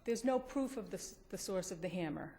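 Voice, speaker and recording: A woman's voice: fairly low pitch, lively intonation, brisk, dark tone. A woman defense attorney giving an appellate oral argument in a courtroom. She is careful and hesitant and often restarts her phrases.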